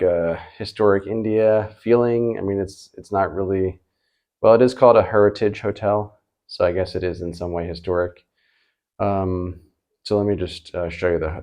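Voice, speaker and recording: A man hosting a travel vlog, chatting casually in a halting, rambling, unscripted way. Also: deep voice, a little mellow. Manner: hesitant, halting, rambling delivery with trailing repeats.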